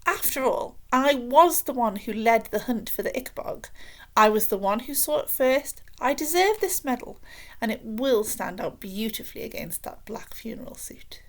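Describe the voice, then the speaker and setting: A woman's voice: on the high side, animated, moderate pace, voice pushed up, lightly acted. A woman voicing a king's boastful, self-admiring vanity as an insistent inner voice in a children's storybook.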